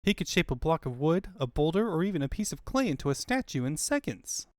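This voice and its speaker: A man's voice: fairly high pitch, sing-song, brisk, a little mellow, no echo, clean studio sound. A man giving scripted narration for a comic book fan dub. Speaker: narrator and voice performer.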